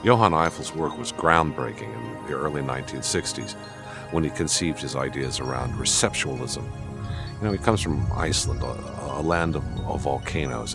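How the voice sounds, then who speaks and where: Very deep-voiced male commentator. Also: vocal fry. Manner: articulate with some fillers and restarts. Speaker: commentator on the artist. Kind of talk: documentary interview commentary.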